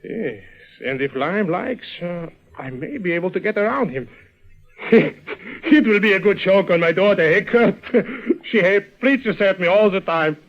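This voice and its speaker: High-voiced scheming businessman. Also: lively intonation, measured pace. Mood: gloating. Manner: oily, ingratiating, calculating. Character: scheming, wheedling German businessman, father of grown daughter. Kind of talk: old radio drama acting. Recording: muffled old radio recording.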